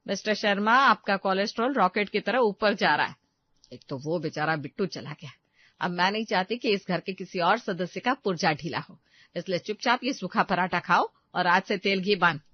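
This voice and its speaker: A woman voicing a bossy older mother in a cartoon story, with a brisk, firm, scolding manner. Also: medium pitch, expressive, dry recording, highs cut off, natural voice. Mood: stern scolding.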